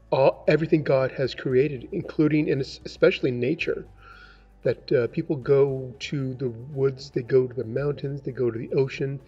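An older man, a pastor, giving a relaxed, conversational devotional and prayer into a webcam in a large indoor room. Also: medium pitch, breathy edge. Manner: conversational, relaxed, musing with asides. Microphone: webcam, close framing. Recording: dull lo-fi webcam sound.

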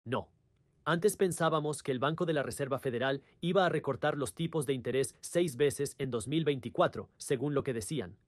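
A man's voice: mid-range voice, moderate pace, no room sound. A man presenting a scripted explainer in the manner of a dubbed video host.

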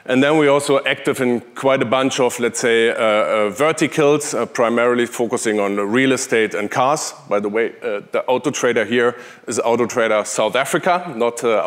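A man in his forties with a German accent, giving a conference keynote on a large hall stage through a headset mic, chatty with many fillers and restarts. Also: medium pitch. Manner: conversational, with frequent fillers and restarts. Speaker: conference speaker, tech executive.

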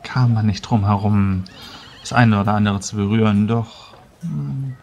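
A man playing a polite, self-assured young scholarly mage in a tabletop game, speaking formally and deliberately with hesitations. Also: lowish voice, fairly even intonation, gravelly, a little mellow, a little hiss or hum. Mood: cautious assurance. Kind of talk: tabletop roleplay in character.

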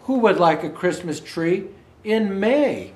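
A man's voice: sits high, expressive, slow pace, a little mellow. An older man in the role of a Santa storyteller, reading a children's picture book aloud expressively with asides to viewers. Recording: roomy indoor echo.

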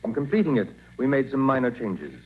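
Animated, formal museum doctor played by an actor. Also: male voice, medium pitch, a little fast, lightly acted. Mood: fussy, polite. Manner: formal, precise, polite. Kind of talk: 1940s radio drama acting. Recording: muffled, faintly noisy 1940s radio recording.

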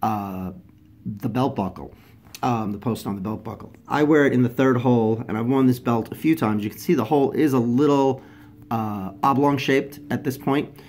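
A man's voice: medium pitch, normal speed, a little hiss or hum. Congested man casually reviewing a product. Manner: chatty, many ums and restarts, drops softer for close-up details. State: congested from a head cold. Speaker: fashion vlogger. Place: indoors at home, filming over a carpeted floor.

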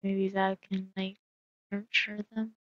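A woman's voice: medium pitch, level tone, slow, no echo, dull audio. A woman casually narrating her solo game roleplay, musing aloud with hesitant fillers.

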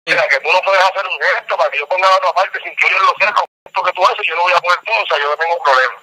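A man's voice: high voice, very fast, pushed and bright, husky, no room sound. A man making an extortion phone call, pressuring and intimidating the listener, over a tinny phone line. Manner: pressuring, intimidating, repeats "me entiendes". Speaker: phone scam caller. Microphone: telephone.

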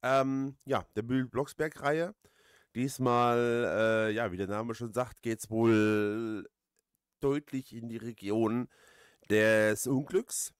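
A man giving casual, halting gameplay commentary as a let's player. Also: medium pitch, fairly even intonation, smooth, dry recording, studio quality. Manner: hesitant, halting, frequent drawn-out pauses.

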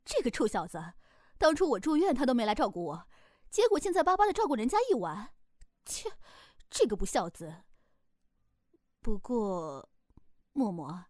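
A woman acting a high-pitched, bossy, indignant mother. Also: wildly swooping pitch, a little mellow, dry recording, clean studio sound. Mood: demanding, nosy. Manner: exclamatory, rapid-fire questioning. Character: bossy, jealous, indignant mother of a grown son. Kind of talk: Chinese audio drama acting.

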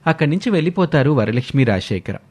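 A man's voice: on the high side, big pitch swings, quick pace, darkish tone. A man reading a scripted story as a storyteller.

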